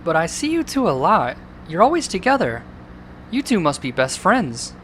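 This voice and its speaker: A man's voice: high voice, wildly swooping pitch, normal speed, fairly bright tone, slight background noise, full character voice. A man voicing a dejected, timid, bullied young creature boy, mopey and self-pitying, in an amateur cartoon audio drama. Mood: shy.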